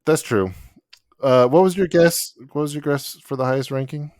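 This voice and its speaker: A man hosting a casual podcast chat in a relaxed way. He chuckles often and reads figures off his notes. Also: on the high side, moderate pace, dry recording, studio quality. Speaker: podcast host.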